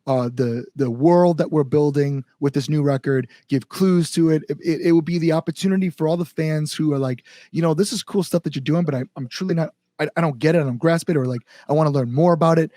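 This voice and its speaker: Man, slightly high voice, enthusiastic, casual musician interview, conversational, dry close sound. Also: fast talker, few pauses, mellow, no echo. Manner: enthusiastic, frequent "like" and "you know". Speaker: guest musician, band singer.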